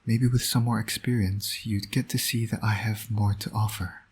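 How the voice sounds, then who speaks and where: A low, husky male voice for a roleplay audio drama , a man acting it out. He is a smug villain who flirts and needles with dry sarcasm, yet shows a fatherly soft side. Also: fairly even intonation, moderate pace. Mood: coaxing, wry. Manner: smug, teasing, sardonic. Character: teasing, flirtatious male supervillain, doting secret father.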